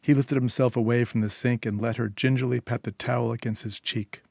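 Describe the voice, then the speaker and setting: A male narrator reads literary fiction at a medium pitch for a man. The recording is dry and close, with hardly any room around the voice. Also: fairly even intonation, a little fast, gravelly, a little mellow, no echo. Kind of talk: scripted short story narration.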